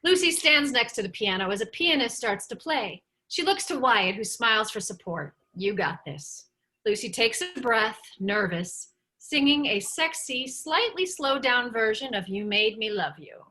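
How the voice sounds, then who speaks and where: A woman's voice: on the high side, lively intonation, a little slow, sharp tone, low-quality recording. A woman narrator reading stage directions at a script table read.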